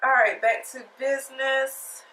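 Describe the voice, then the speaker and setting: A woman's voice: high-pitched, steady tone, measured pace, pushed and bright, echoey room, a little hiss or hum, poor audio. A woman talking through a makeup tutorial in a casual, sassy, chatty way, her nose stuffed up from a cold. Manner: chatty, sassy, talks straight to viewers. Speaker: beauty vlogger.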